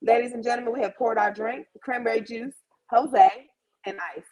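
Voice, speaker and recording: A woman's voice: fairly high pitch, fairly even intonation, moderate pace, a crowd in the background, rough recording. A woman of about thirty hosting a casual livestream, chatting informally and slangily straight to her audience. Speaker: host of a live chat show.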